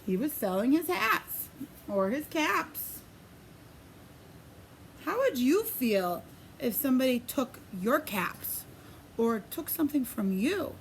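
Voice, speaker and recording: A woman preschool teacher reading a picture book aloud in an expressive, sing-song way, pausing to put questions to young children. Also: high-pitched, slight background noise, poor audio.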